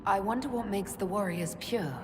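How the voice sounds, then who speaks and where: A woman's voice: medium pitch, normal speed, noisy background, lightly acted. A woman playing a resolute, practical fantasy adventurer in a video game cutscene. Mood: focused, businesslike. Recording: loud music behind the voice. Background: loud music.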